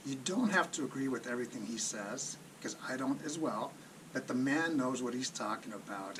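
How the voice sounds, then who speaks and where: A man giving casual, off-the-cuff commentary as a video host, rephrasing as he goes and posing rhetorical questions with plain advice. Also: mid-range voice. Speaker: personal finance video host. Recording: distant mic, clearly noisy background.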